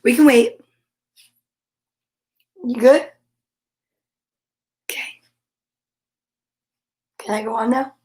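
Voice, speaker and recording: A woman hosting an online story time, speaking in a playful, expressive way as if chatting casually with viewers. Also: a little high, extremely slow, pauses often, slightly rough. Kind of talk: casual livestream chat with short read-aloud passages.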